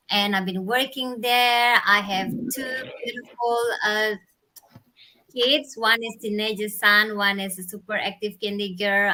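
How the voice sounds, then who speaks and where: Bright upbeat woman hosting a live stream on a video call, higher voice. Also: rough recording. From Indonesia.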